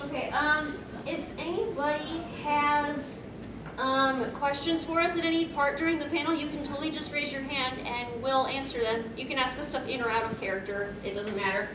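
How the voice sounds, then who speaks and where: Young woman, high voice, distant and echoey. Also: steady tone, normal speed. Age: young adult. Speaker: panel host. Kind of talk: casual unscripted panel talk with audience. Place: convention meeting room, on a stage. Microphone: distant camera microphone. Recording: distant, echoey, noisy camera recording.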